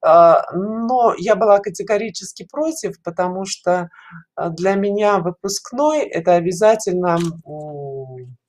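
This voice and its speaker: A middle-aged woman explaining things in an interview over a video call, in halting phrases with frequent pauses. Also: lowish voice, animated, a little mellow. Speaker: caller to an online show, head of a children's animation studio. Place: small dim room indoors, video call. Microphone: headset on a video call.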